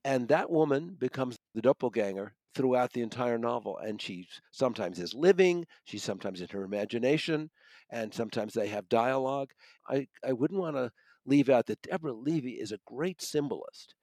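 A man with an American accent discussing books as a reviewer, halting and repeating words as he searches for phrasing. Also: medium pitch, expressive, darkish tone, no room sound. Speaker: book reviewer, regular guest. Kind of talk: unscripted book discussion.

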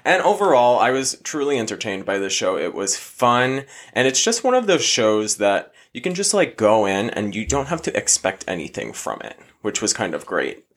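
A man with a slightly low voice hosts a casual podcast. He is upbeat and playful, quick to laugh along with his co-host. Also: sing-song, a bit bright. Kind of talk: casual chat.

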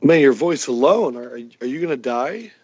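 A man's voice: medium pitch, animated, normal speed, darkish tone, no echo. A man co-hosting a casual sports podcast, teasing and joking with the host. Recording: dull dry sound, maybe over a phone.

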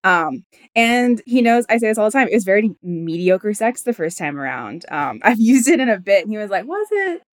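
Woman early 30s, really lively up and down voice, open casual chat, sweary. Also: medium pitch, a little fast. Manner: open, animated, profane.

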